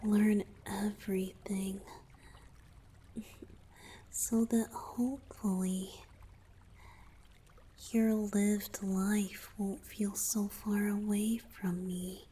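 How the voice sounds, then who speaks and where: An affectionate young merman boyfriend, played by a woman, speaks very slowly and intimately to the listener in a romantic ASMR roleplay. The voice is breathy, tender and teasing, often dropping to a whisper, with noticeable background noise behind it. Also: mid-range voice, level tone, mellow.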